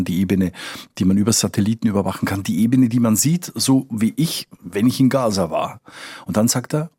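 Husky low-voiced male correspondent. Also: a little mellow, no echo, clean studio sound. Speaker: foreign correspondent, interview guest. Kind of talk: conversational expert explanation.

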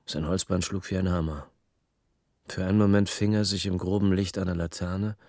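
A deep-voiced, restless crook narrates a noir radio drama in clipped phrases. The voice is husky and very dry, recorded close without room sound. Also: male voice, no echo. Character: hard-boiled small-time male crook recounting his past, restless. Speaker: narrator and lead.

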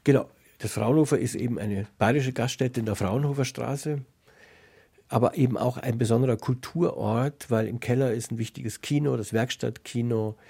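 A man with a husky voice presenting and interviewing for a cultural radio programme. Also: mid-range voice, dark tone.

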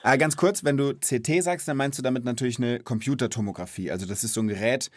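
A man's voice: medium pitch, fast talker, dry recording, studio quality. A man hosting a children's knowledge podcast, chatting and explaining in a casual, enthusiastic, colloquial way.

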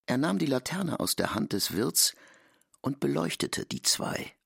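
A man's voice: mid-range voice, very animated, unhurried, vocal fry, fairly bright tone, husky, no echo, clean studio sound. A man narrating literary prose in an audio drama.

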